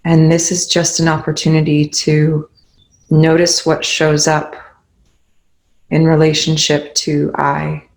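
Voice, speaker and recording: Slow, even-toned meditation guide. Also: mid-range voice, creaky, poor audio. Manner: gentle instructional phrasing with pauses.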